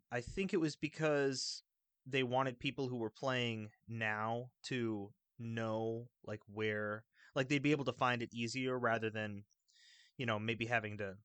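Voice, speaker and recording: A man chatting casually about video games in a rambling, halting, enthusiastic way. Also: mid-range voice, a bit bright, smooth, dry recording. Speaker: video game podcast panelist.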